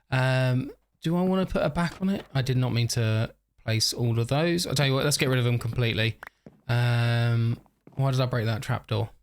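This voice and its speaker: A man's voice: on the high side, fairly bright tone. A man rambling through casual game commentary, overtired and a bit stuffy and nasal, often laughing at himself. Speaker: gaming YouTuber. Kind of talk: casual let's-play commentary.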